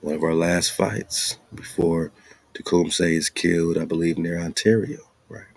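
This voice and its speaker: Very deep-voiced man, casual and slangy. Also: fairly even intonation, normal speed, gravelly, darkish tone, no echo. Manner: informal, slangy asides. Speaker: radio show host. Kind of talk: casual commentary mixed with reading aloud.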